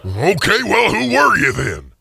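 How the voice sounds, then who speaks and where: A man's voice: high voice, huge pitch swings, unhurried, creaky, sharp tone, husky, long reverb tail, pitched above the performer's own voice, heavily acted. A man playing a nervous, crotchety old miser who stammers querulously in a radio drama. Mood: startled. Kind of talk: radio theater acting.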